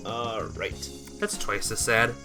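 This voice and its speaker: A man live-streaming a visual novel, reading the text aloud and breaking off for casual, halting asides to his viewers. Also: fairly low pitch. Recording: music behind the voice.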